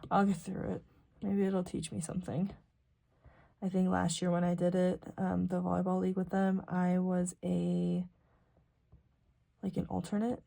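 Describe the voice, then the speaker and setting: A woman's voice: lowish voice, monotone, normal speed, creaky, long pauses, mellow. A young American woman chatting casually and ramblingly as she works on her planner, informal and self-deprecating, sometimes murmuring to herself. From rural South Dakota, US. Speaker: planner vlogger.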